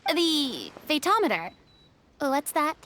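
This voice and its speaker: A woman's voice: high-pitched, sing-song, slow pace, a bit bright, a little hiss or hum, full character voice. A woman playing an eager, theatrical but self-doubting young woman in a video game, speaking expressively and a little hesitantly. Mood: surprised delight. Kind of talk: video game character acting.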